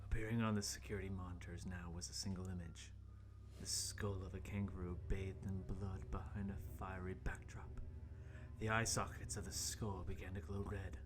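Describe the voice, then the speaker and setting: A man's voice: low-pitched, little pitch movement, normal speed, over music, close to an everyday voice. A man playing a jumpy young intern, narrating his horror tale in a melodramatic, ominous way for an amateur radio play. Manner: melodramatic, ominous storytelling broken by shouted sound effects.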